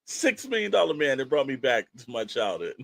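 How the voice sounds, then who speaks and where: A man's voice: medium pitch, big pitch swings, brisk, gravelly, no room sound. An American man co-hosting a podcast in a casual, chatty style, speaking informally and repeating phrases for emphasis. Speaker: podcast co-host.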